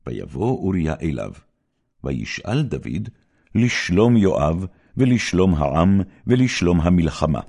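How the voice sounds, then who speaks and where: A man with a very low, creaky voice narrates scripture. His delivery is solemn and measured, yet highly expressive, with wide swings of intonation that give the biblical story dramatic shape.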